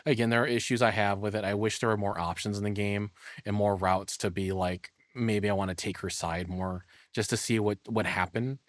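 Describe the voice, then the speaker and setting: Male streamer, lowish pitch, informal rambling opinions, close dry sound. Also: fairly bright tone, dry recording. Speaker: reaction streamer. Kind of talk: casual spoken reaction commentary.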